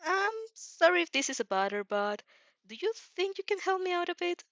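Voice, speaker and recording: Woman acting sweet teenage girl, naive, polite, hesitant, lively intonation, bright, dry recording. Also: extremely high pitch, moderate pace, no room sound, lo-fi sound, voice pushed up, heavily acted. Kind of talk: animated amateur fan fiction reading.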